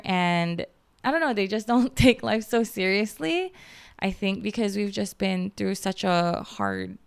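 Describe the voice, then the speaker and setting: A woman's voice: medium pitch, creaky, clear, resonant tone, no room sound. A woman in her thirties from the Philippines with a light Filipino accent, hosting a casual solo podcast in a chatty way full of "like" and "um". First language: Filipino language. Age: 30s. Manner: chatty, lots of "like" and "um", laughs at herself. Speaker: podcast host.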